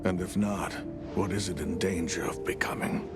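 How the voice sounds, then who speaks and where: An actor playing a grave, cautious village keeper in a game cutscene, speaking in formal, measured phrases. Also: male voice, sits low, fairly even intonation, natural voice. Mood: solemn, troubled. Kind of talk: dramatic video game cutscene acting. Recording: music behind the voice.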